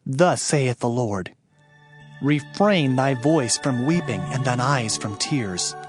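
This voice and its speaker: A man's voice: medium pitch, lively intonation, takes it slowly. A man narrating a dramatized scripture audiobook in a solemn, formal, declamatory manner, with music behind him.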